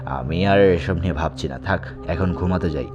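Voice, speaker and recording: A man narrates horror tales in the first person as a show host. His delivery is dramatic storytelling, and music plays clearly behind him. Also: low-pitched, moderate pace, muffled recording. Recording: music bed under the voice. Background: background music.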